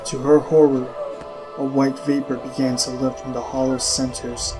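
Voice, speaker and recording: A man reading fiction aloud as a narrator, in an expressive, dramatic storytelling style. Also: mid-range voice, moderate pace, music in the background, rough recording. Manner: expressive, literary storytelling delivery.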